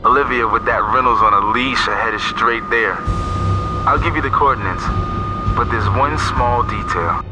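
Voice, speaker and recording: A man's voice: medium pitch, moderate pace, close to an everyday voice, low-quality recording. Man playing a sly, crude hacker over music. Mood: wheedling. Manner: chummy, leering, insinuating. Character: sly, crude, wheedling male eavesdropper Bruce, a stranded hacker. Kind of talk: video game cutscene voice acting. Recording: music behind the voice.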